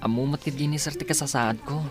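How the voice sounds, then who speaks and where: A man's voice: fairly high pitch, quick pace, music in the background, lightly acted. A man with an Ilocano accent playing a sickly, downcast young student and dutiful son in a radio drama. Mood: subdued. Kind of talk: Filipino radio drama acting.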